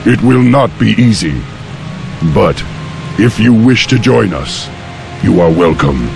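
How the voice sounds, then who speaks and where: Gravelly, commanding young orc warchief. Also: male voice, fairly low pitch, lively intonation, slow, exaggerated voice. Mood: resolute. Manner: commanding, declarative. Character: bold, resolute young male orc warchief. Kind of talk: heroic fantasy game acting. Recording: voice over game music.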